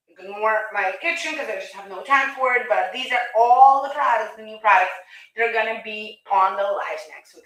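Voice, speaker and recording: Russian-accented woman host, bright and echoey. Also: on the high side, far from the mic. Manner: chatty, upbeat selling, addresses viewers directly. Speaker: live shopping host. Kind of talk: live stream product pitch. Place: home, near kitchen.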